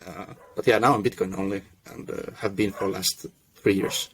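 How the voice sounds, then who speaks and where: A young man from Russia who grew up in Finland, a podcast guest telling his story in a relaxed, self-deprecating way with many restarts. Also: deep voice, normal speed, slight background noise. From Russia, raised in Finland. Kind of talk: casual podcast chat.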